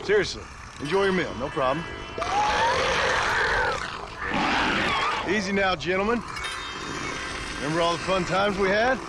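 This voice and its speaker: An actor playing a wry, weary gunslinger in a western video game, speaking with dry, sardonic politeness. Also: male voice, high voice, expressive, a little fast, very noisy. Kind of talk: video game dialogue acting.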